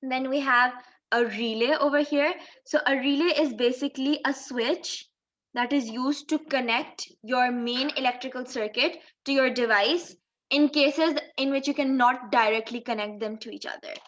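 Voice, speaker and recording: Woman, high-pitched voice, teacher, step-by-step tutorial narration, rhetorical questions, dull lo-fi recording. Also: moderate pace, a bit bright. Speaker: physics teacher. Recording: dull lo-fi microphone sound.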